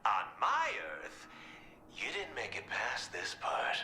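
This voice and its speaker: An actor voicing a manic, mocking psychopathic clown villain in a video game, with theatrical delivery. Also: male voice, mid-range voice, huge pitch swings, slow, bright, forceful tone, reverberant, a little hiss or hum, heavily acted. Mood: theatrical scheming.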